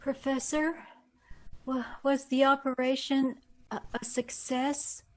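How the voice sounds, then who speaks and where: A woman playing a vain, intense woman in an old-time radio drama, speaking deliberately with dramatic pauses. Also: high voice, steady tone, low-quality recording. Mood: weak, halting. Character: vain, intense woman reborn with a beautiful new body.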